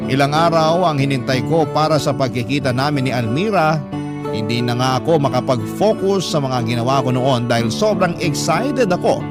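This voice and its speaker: A man reading a first-person story as scripted radio drama narration. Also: fairly high pitch. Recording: music playing behind. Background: background music.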